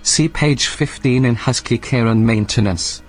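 An actor playing a literal, unflappable station computer assistant with a formal, deadpan manner in a science-fiction audio drama. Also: male voice, mid-range voice, unhurried, soft background music, natural voice. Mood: deadpan, matter-of-fact.

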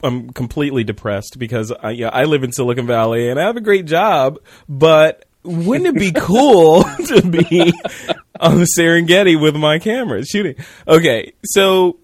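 A man's voice: on the high side, lively intonation, moderate pace, no room sound. Curious, joking man, dry sound. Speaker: podcast host, interviewer. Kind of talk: casual chat interview.

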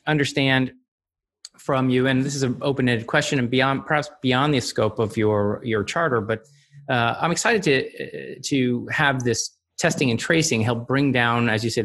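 A man's voice: mid-range voice, fairly bright tone. A man hosting a podcast interview, asking questions conversationally in a hesitant, roundabout way.

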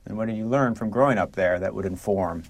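A man's voice: on the low side, quick pace. A man interviewing an author, asking questions in a friendly, structured way.

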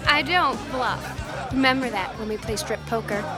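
A woman as a high-pitched brazen teen cheerleader. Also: moderate pace, natural voice. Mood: brazen, teasing. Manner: teasing, cocky. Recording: music and busy crowd behind.

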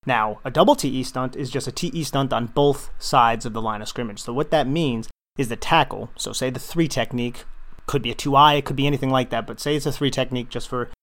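A man's voice: mid-range voice, fairly quick, fairly bright tone. Methodical male football film analyst. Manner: methodical, explanatory. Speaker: podcast co-host and film analyst. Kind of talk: explaining football tactics.

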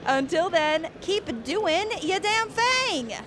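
An actress playing an upbeat, bossy young female fan and livestreamer in an audio drama, brisk and perky, with music underneath. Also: very high-pitched, big pitch swings, heavy background noise, heavily acted. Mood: perky, excited.

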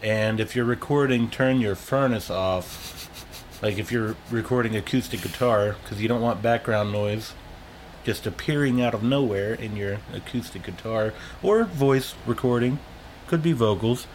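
A man's voice: fairly low pitch, moderate pace, a little hiss or hum. A man chatting casually as an interviewed sound engineer, explaining things in a rambling, colloquial way.

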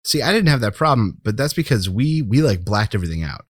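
Low-pitched man chatting on a podcast. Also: sing-song, quick pace, no room sound, studio quality. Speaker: podcast co-host. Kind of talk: casual chat about a TV show.

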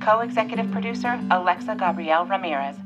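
Female announcer, scripted credits, slightly low-set range, matter-of-fact, guitar music behind. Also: fast talker, lo-fi sound.

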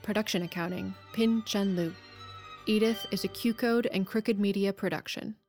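A woman's voice: medium pitch, steady tone, normal speed, darkish tone. A woman announcer giving a scripted reading of production credits. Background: music.

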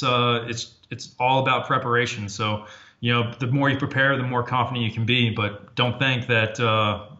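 A man talking as a podcast guest, reflective and casual, often pausing with fillers and restarting his sentences. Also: medium pitch, pushed and bright. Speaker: podcast guest, former Army Ranger officer. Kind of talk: casual interview talk. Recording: dull lo-fi audio.

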